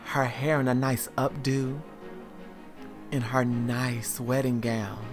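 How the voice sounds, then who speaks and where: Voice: medium pitch, level tone, slow pace. A performer voicing a confident woman in a tuxedo, awestruck and dreamy, with faint music behind.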